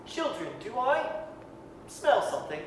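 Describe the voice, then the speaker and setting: An actor playing a gentle, doting, cheerful family father in a radio-style staged reading. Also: mid-range voice, big pitch swings, measured pace. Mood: delighted. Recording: very echoey hall, distant, noisy hiss.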